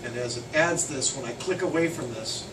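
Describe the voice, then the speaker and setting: A man giving a casual, hands-on software training walkthrough, loud and emphatic on key points. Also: mid-range voice, a little fast. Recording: roomy, distant, noisy air conditioning.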